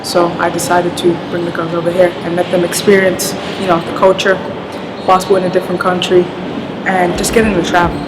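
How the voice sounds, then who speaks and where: A woman from London, a basketball coach and team director, speaking in a measured, earnest interview and often saying "you know". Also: on the low side, level tone, heavy background noise. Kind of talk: interview talk to camera. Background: music.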